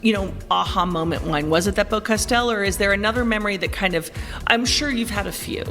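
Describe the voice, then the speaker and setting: A woman's voice: medium pitch, fast talker, fairly bright tone. A woman interviewer asking friendly, prompting questions in a casual chat. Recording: reverberant room with music.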